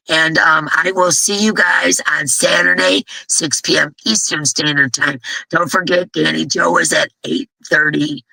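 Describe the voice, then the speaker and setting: Hoarse, gravelly woman host, blunt and chatty. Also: sits low, pushed and bright, no echo, low-quality recording. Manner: blunt, chatty, rambling, with frequent restarts. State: hoarse, losing her voice. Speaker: host of a crochet live stream. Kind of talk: casual live-stream chat.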